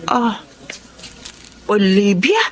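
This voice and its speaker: A woman's voice: mid-range voice, sing-song, extremely slow, a little hiss or hum. An actress with a Filipino accent playing a protective, stern middle-aged mother, admonishing and dramatic, in radio drama style. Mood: firm.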